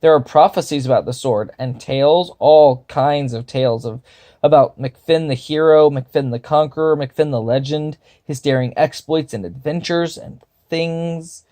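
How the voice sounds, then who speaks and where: A man's voice: unhurried, mellow, lightly acted. A man voicing a nervous young male would-be hero who stammers and blusters. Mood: blustering. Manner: stammering, flustered, higher-pitched.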